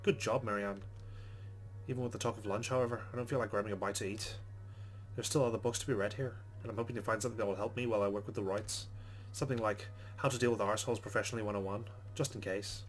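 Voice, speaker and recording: A man's voice: lowish voice, fairly even intonation, quick pace, rough recording. A man hosting a game playthrough, reading the story text aloud with casual asides. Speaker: let's play host.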